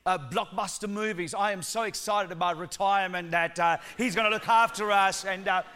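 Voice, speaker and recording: A man with a Sri Lankan accent mixed with New Zealand, preaching a lively, joking sermon in church and calling on the congregation to respond. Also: high-pitched, fairly quick, smooth. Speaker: guest preacher.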